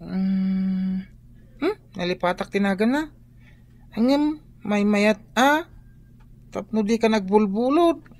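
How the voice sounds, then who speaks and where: A woman's voice: medium pitch, takes it slowly, long pauses, a little mellow, no room sound. A woman playing a whiny, hesitant young child who tattles, in a radio drama. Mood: innocent, hesitant. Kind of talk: Ilocano radio drama acting.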